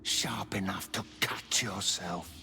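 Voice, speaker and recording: An actor playing a menacing undead servant in a video game, with a drawn-out delivery. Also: male voice, sits low, steady tone, creaky, full character voice. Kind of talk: video game villain acting. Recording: game music under the voice.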